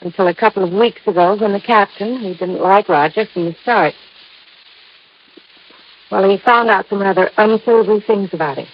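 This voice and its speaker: Woman acting as young American female client, 1950s radio drama, husky, earnest, spirited, muffled old recording. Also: mid-range voice, moderate pace, close to an everyday voice. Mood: grave, earnest. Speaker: actress in a radio detective series.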